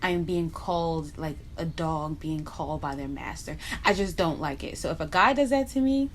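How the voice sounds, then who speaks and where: A woman's voice: low-pitched, moderate pace, slight background noise. A young woman from Barbados chatting bluntly and casually while doing her makeup for a vlog, dropping in slang asides. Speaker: beauty vlogger.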